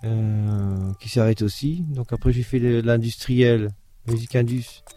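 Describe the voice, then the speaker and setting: A man's voice: mid-range voice, steady tone, moderate pace, warm tone, faint music behind. A man from France with a Belgian French accent, a composer rambling through casual interview answers. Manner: rambling, repeats himself. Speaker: composer of a duo.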